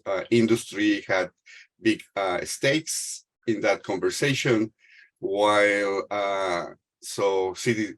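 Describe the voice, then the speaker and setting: A middle-aged man from Mexico who speaks English as a second language with a Spanish accent, giving a talk on a video call with many fillers and restarts. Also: on the low side. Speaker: panel speaker.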